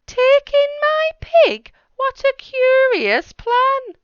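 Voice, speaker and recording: A woman's voice: very high-pitched, sing-song, drawn-out words, dry recording, highs cut off, voice pushed up, full character voice. A woman voicing a fretful little old lady from a storybook, exclaiming and pleading theatrically. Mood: incredulous.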